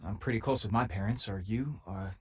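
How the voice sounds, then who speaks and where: An actor playing an easygoing, loyal and wry space miner companion in a video game, with a husky, conversational delivery. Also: male voice, on the low side, brisk. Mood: earnest, wry.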